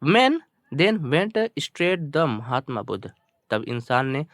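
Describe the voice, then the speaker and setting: A man with an Indian accent, a native Hindi speaker, teaching bilingually, reading English slowly word by word and repeating his explanations colloquially. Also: mid-range voice, big pitch swings, a bit bright, dry recording, studio quality.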